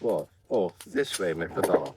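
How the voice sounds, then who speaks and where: An actor playing a mild, formal hospital doctor in a 1950s radio drama, polite and a little hesitant. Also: male voice, low-pitched, animated, moderate pace. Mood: puzzled, polite. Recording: noisy old radio recording with clicks.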